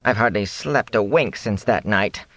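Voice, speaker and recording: A man voicing a ragged, wary peddler in an audiobook, whining and self-pitying in a hoarse rasp. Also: mid-range voice, big pitch swings, unhurried, pushed and bright, no echo, voice pushed up, full character voice. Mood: whining, bitter. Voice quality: raspy, hoarse. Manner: whining, jittery, aggrieved. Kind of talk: audiobook character voice.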